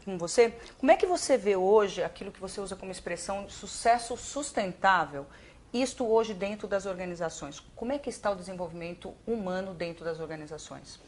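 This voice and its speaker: A Brazilian-accented woman presents an interview programme. Her voice sits at an average pitch, and she words her questions in a polished, deliberate way. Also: expressive, darkish tone, slight background noise. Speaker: interview show host.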